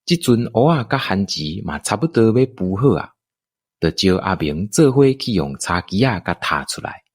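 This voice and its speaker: A man with a Taiwanese accent narrating a scripted radio drama in expressive storytelling style. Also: on the low side, moderate pace, husky, dry recording, clean studio sound.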